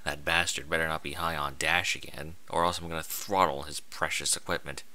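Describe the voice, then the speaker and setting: A man's voice: rumbling low, steady tone, brisk, bright, forceful tone, pitched below the performer's own voice, full character voice. A man voicing a weary, foul-mouthed female pony traveler who grumbles irritably in an audio story. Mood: weary, grumbling.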